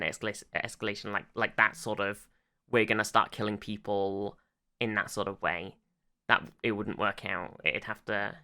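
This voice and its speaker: A podcast co-host in casual discussion, explaining things haltingly, circling back and swearing freely. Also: deep voice, bright, forceful tone.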